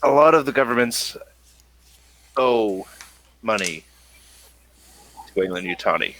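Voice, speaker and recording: A man narrating as game master, explaining the game's world in a halting, improvised way. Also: medium pitch, expressive, a bit bright, poor audio. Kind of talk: improvised narration and lore exposition for a tabletop game.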